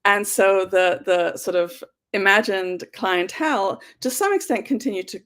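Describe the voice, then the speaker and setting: A woman's voice: medium pitch, animated, moderate pace, fairly bright tone. A woman with an English accent, who grew up in the UK, answering interview questions about her work as an author and historian in a chatty way, with frequent ums, over a video call. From United Kingdom.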